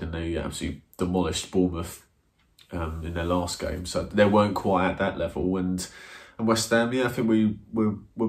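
A man sits in a small room and talks his team's match over to the camera. His voice is deep and level, with little rise or fall. He hesitates often, restarting his sentences. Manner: hesitant, many ums and restarts, earnest. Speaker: football fan reviewer. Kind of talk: post-match review to camera. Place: small indoor room, plain wall. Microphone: camera in front, seated.

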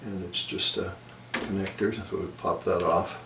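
A man's voice: low-pitched, fairly even intonation, normal speed. A middle-aged man giving matter-of-fact, casual commentary as he works on a repair, thinking aloud in short remarks. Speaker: repair technician and presenter. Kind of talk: casual hands-on repair commentary while working. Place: indoor electronics repair workshop. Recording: roomy, distant mic, workshop noise.

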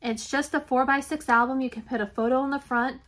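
A chatty, enthusiastic woman, talking straight to her desk camera in a home craft room. Her voice sits a touch high, and it sounds a little raspy and congested from allergies, with the odd throat clearing. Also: fairly even intonation, fairly quick, fairly bright tone. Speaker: reseller vlogger. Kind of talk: casual shop-with-me haul chat.

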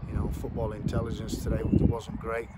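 A middle-aged football manager giving a plain, measured post-match interview outdoors at a ground, with many fillers and repeats. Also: male voice, on the low side, monotone. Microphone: camera framed at chest height. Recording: loud outdoor wind noise.

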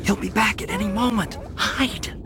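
A man's voice: high-pitched, normal speed. A man playing an agitated, exasperated jailed store manager in a video game. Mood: urgent. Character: jumpy, indignant male jailed store manager. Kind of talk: game cutscene acting. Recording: loud ambient noise behind.

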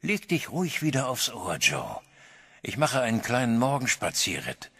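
A man's voice: mid-range voice, huge pitch swings, moderate pace, fairly bright tone, husky, no echo, clean studio sound, heavily acted. A stern old farmer grandfather. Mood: hushed. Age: older. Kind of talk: radio drama acting.

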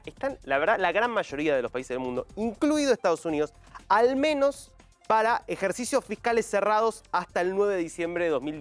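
A man in his thirties with an Argentine accent, explaining figures as a panelist on a studio news show. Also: high voice. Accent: Argentine Spanish. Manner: explanatory, lively intonation. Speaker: panelist economics analyst.

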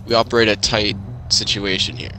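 A man's voice: fairly low pitch, flat delivery, brisk, bright, forceful tone, faint music behind, rough recording. A man improvising as a firm, guarded leader of a survivor settlement in a zombie game skit. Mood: matter-of-fact. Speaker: player in a roleplay skit. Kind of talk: improvised game roleplay dialogue.

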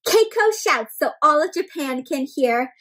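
A young woman with a high voice hosts story time for little kids. She speaks in an animated, expressive way, pausing to ask the children questions as she reads. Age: young adult. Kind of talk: interactive read-aloud for young children. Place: small indoor room at home with bookshelves and plants.

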